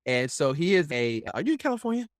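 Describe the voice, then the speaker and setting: A man's voice: on the high side, sing-song, fast, no echo, studio quality. A man hosting a podcast interview, chatting casually in a rambling, opinionated way and often tagging his points with "right?". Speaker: podcast host.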